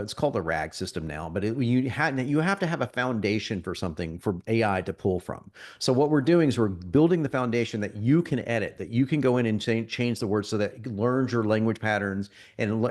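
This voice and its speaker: Sniffly man giving a casual software demo. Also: fairly low pitch. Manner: conversational, salesy, frequent restarts and stumbles. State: getting over a cold, sniffly. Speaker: marketing workshop presenter. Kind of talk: live software demo to an audience.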